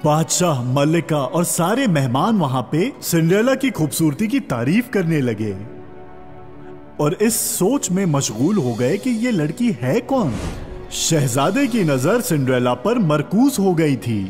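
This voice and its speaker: A man's voice: on the high side, sing-song, a little mellow. A man narrating a scripted fairy tale for children as a storyteller. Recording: long reverb, music underneath.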